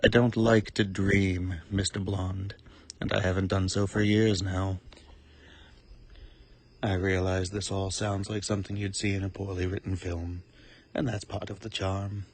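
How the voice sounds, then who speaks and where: A man playing a cold, calculating supervillain in a dramatic monologue, speaking with formal, deliberate, self-important eloquence and menacing calm in a gravelly, husky voice. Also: low voice. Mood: coolly philosophical.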